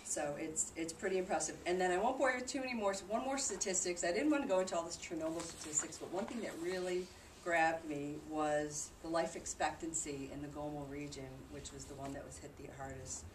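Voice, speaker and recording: Low-voiced woman presenting in an echoey room. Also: a little fast, few pauses. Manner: conversational, explanatory. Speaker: presenter reporting on a charity trip. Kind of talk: informal presentation to a group. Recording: distant mic in noisy, echoey room.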